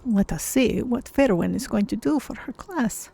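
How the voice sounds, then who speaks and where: A woman voicing a mild, thoughtful cat-person mage in a measured, formal way, role-playing through a game stream. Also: on the high side, expressive, gravelly, warm tone, husky, full character voice. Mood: musing. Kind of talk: character-voiced game stream roleplay.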